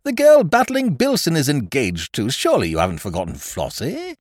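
Storybook scrounger played by a male reader: falsely hearty, cajoling, hugely animated, pitch leaping about. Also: a little fast, dry recording, clean studio sound. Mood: astonished, coaxing. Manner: effusive, cajoling, old-fashioned British slangy address. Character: breezy male scrounger, wheedling, overfamiliar, falsely hearty chum.

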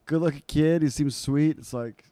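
Mellow-voiced teasing man. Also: a little high, normal speed, no echo. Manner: teasing, playful interjections. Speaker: podcast co-host. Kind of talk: casual chat.

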